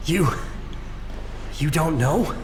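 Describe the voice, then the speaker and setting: High, echoing ghost soldier. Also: male voice, lively intonation, extremely slow, crowd noise. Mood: horrified. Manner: strained, urgent, dramatic. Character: ghost of a desperate, loyal young male soldier. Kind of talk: English video game voice acting. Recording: long echoing reverb, faint noise and ambience.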